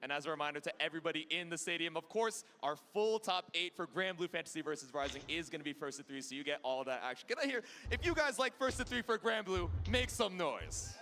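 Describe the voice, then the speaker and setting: A man's voice: fairly high pitch, expressive, fast, hardly any pauses, pushed and bright. A man giving live play-by-play commentary on a fighting game tournament, fluent and full of technical jargon. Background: music.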